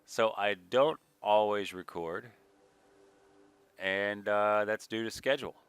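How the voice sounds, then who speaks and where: A man giving casual, rambling let's play commentary, thinking aloud at an unhurried pace, slightly nasal with a mild sniffle. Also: fairly low pitch, fairly bright tone, smooth, dry recording. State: mild sniffle from a recent cold. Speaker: gaming video creator, player.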